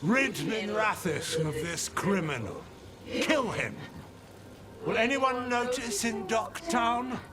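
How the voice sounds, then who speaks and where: A man voicing a menacing, zealous cult blood mage speaking through a possessed body, grandiose and deliberate in a dramatic video game. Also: sits high, animated. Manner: grandiose, theatrical, slow and deliberate. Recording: loud music under the voice.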